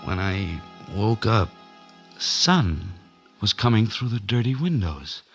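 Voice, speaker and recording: Hardboiled fugitive narrator, low voice, terse slang, 1940s radio noir, muffled recording with music under. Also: male voice, lively intonation, slow, fairly bright tone, heavily acted. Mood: tense, weary. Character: broke, hunted man on the run, weary hardboiled narrator.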